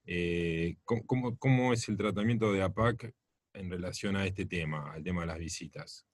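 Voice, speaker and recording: A man's voice: low voice, normal speed, dry recording, studio quality. A man with an Argentine accent interviewing a guest on radio, asking loose questions with fillers. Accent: Argentine Spanish.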